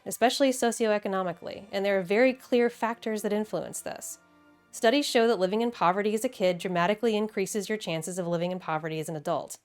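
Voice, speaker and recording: American woman doing video essay narration, casual wry asides, emphatic, bit of music underneath. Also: medium pitch. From Midwestern United States.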